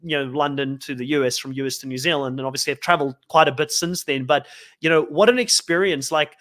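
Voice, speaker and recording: A man's voice: fairly high pitch, fast talker, a bit bright. A young man from South Africa whose first language is Afrikaans, co-hosting a podcast and chatting casually, rambling with lots of likes and ums. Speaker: podcast co-host.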